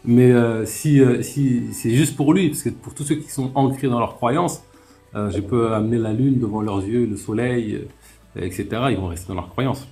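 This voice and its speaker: A man's voice: mid-range voice, normal speed, warm tone, soft background music. A man hosting a live online talk, chatting casually, laughing often and restarting his sentences. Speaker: host of live debate stream.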